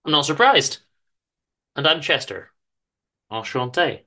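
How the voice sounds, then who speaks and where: A man's voice: a little high, big pitch swings, measured pace, sharp tone, full character voice. A man voicing a cheerful, chatty young book lover with an enthusiastic, slightly flourished way of talking in a story reading. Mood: warm, friendly.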